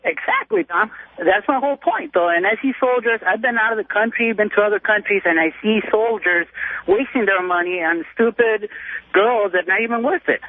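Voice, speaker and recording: High-voiced male caller on tinny phone. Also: lively intonation, moderate pace, dry recording. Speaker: radio show caller, soldier. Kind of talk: casual opinionated phone-in talk.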